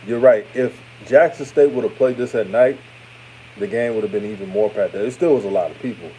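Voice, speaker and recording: A man in his thirties, from Houston, with a deep voice that sounds older, giving casual hot takes and reading chat comments on a live stream. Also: a little fast, a little hiss or hum, poor audio. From Houston, Texas. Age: 30s. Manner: relaxed, chatty, repeats himself, trails off. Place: his room after a game.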